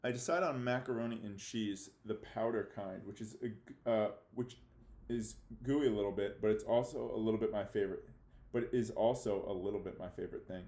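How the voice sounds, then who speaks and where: A man speaking as a fifth-grade teacher giving a read-aloud lesson, halting and theatrically mock-serious in his delivery. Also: lowish voice, mellow. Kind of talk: read-aloud lesson for children, reading a novel with commentary. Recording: echoey room.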